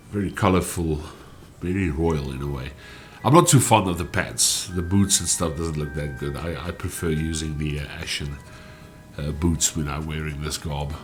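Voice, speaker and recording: Low-voiced man, stuffy. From South Africa. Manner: relaxed, explanatory, with frequent "uh" fillers. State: stuffy from a cold. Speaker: gaming channel host. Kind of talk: gameplay walkthrough commentary.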